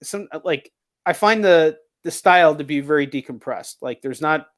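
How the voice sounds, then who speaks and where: A man's voice: fairly high pitch, moderate pace. A man hosting a casual interview show, talking in a blunt, rambling way with plenty of swearing. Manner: rambling, heavily profane, blunt. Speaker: show host. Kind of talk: casual video chat interview.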